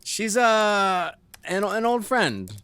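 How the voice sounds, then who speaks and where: A man's voice: high-pitched, very animated, takes it slowly, sharp tone, clean tone, no room sound, heavily acted. A man acts out an easygoing, wisecracking city gang member in an audio drama. His delivery swings freely up and down, full of teasing banter. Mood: matter-of-fact. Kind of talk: casual audio drama dialogue.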